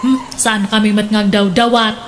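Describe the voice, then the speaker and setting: A woman's voice: mid-range voice, fairly even intonation, moderate pace, faint music behind, natural voice. A woman acting a gossipy, resentful sister in an Ilocano radio drama, with an Ilocano accent. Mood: scornful. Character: gossipy, resentful sister, scornful of her sibling.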